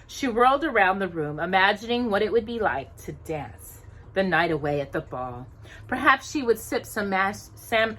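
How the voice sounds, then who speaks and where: Unhurried, animated woman reading a storybook. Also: mid-range voice, poor audio. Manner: expressive, stumbles over words. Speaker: children's storybook reader. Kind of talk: animated read-aloud storytelling to children.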